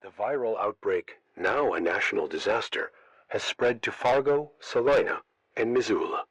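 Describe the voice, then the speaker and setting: A man playing a radio broadcaster holed up during an outbreak, reading scripted emergency bulletins in a measured way. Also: lowish voice, fairly bright tone, no room sound, low-quality recording.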